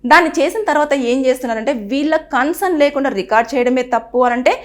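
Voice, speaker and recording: A woman presenter talks quickly in a high voice. She explains earnestly and gives advice. Now and then she acts out what people say. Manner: earnest, advising, repeats phrases and acts out quoted speech. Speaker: news presenter. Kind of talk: explainer to camera on a legal topic.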